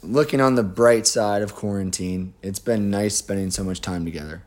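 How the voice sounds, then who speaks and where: A man co-hosting a casual podcast, his voice raspy and hoarse, speaking in an earnest, measured way. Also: on the low side. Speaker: podcast co-host. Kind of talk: casual chat.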